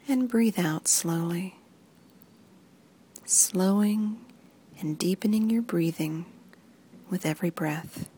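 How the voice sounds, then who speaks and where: A woman reading a guided muscle relaxation script in soft, even instructions that often sink into a whisper. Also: mid-range voice, slightly rough.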